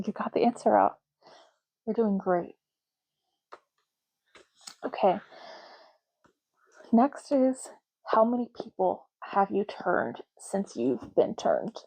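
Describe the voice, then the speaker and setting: A woman speaking softly and unhurriedly in an ASMR roleplay, playing a chatty, matter-of-fact official in short murmured phrases. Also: mid-range voice, creaky, breathy edge, low-quality recording. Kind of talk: soft-spoken ASMR roleplay.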